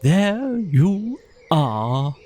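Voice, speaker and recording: Man putting on an excitable high-pitched boastful toad. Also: very slow, exaggerated voice. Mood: rapturous, proud. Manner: exuberant, pompous, emphatic exclamations. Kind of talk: children's audiobook character voice.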